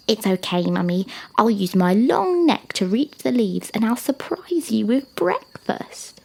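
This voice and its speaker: Woman voicing an excitable high-pitched little boy giraffe. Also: a little slow, gravelly, husky, heavily acted. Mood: eager, tender. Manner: stammers when nervous or curious. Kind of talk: children's storybook character voice.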